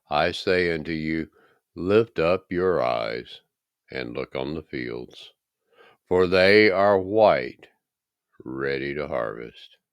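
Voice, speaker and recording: A man reading scripture aloud in a solemn, measured and dramatic way, with deliberate pauses. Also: deep voice, a bit bright, clean tone, no echo, clean studio sound. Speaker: scripture reader.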